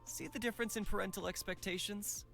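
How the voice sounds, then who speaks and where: A man's voice: high-pitched, steady tone, fast, gravelly, bright, forceful tone, faint music behind, heavily acted. A man playing a teasing, protective and stubborn young best friend in a visual novel, with wry, exasperated banter. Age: young adult.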